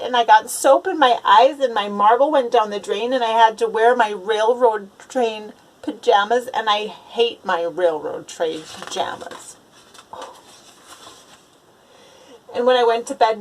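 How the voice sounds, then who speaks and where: A woman's voice: on the high side, a little hiss or hum, close to an everyday voice, poor audio. A woman voicing a grumbling young boy in a children's storybook, complaining emphatically with words spaced out. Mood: grumpy, fed up. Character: grumbling, aggrieved young boy narrating his awful day. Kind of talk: children's storybook read-aloud.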